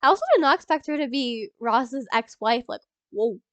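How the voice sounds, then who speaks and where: A young woman with a stuffy nose from a cold, rambling excitedly in a casual movie reaction vlog, full of ums and little laughs. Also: fairly high pitch, moderate pace, no room sound. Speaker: YouTuber.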